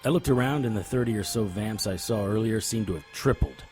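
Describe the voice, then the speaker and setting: A man's voice: on the low side, a little fast, darkish tone, soft background music, close to an everyday voice. An actor playing a tough, determined private investigator in an audio drama, terse and serious as he narrates and speaks. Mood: tense narration. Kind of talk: first-person audio drama narration and dialogue.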